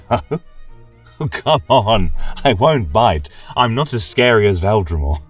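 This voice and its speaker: A man's voice: fairly low pitch, lively intonation, a little slow, slightly rough, faint music behind, pitched above the performer's own voice. A man playing a genial, rambling lecturer at a college of magic, speaking in a flowery, coaxing way in a scripted fantasy audio drama. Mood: encouraging. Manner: flowery, gently coaxing, chuckling scholar.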